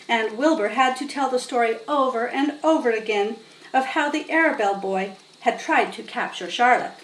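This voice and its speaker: Higher-pitched woman reading in a roomy space. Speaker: storybook reader. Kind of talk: expressive children's story narration. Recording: roomy, distant mic, faint noise.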